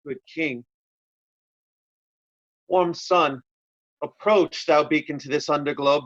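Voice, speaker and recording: Slow-spoken, weary nobleman played by a man. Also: on the high side, no echo, dull audio. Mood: weary, hopeful. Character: weary, loyal, stubborn nobleman in disguise, put in stocks. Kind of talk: stage Shakespeare read-through.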